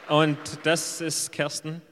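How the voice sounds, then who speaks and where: A man's voice: a little high, completely flat delivery, fairly bright tone, a faint crowd, slight background noise. A man interpreting a speaker live for a church audience, often restarting mid-phrase. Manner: quick, with restarts and fillers while translating. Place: church hall. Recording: reverberant hall.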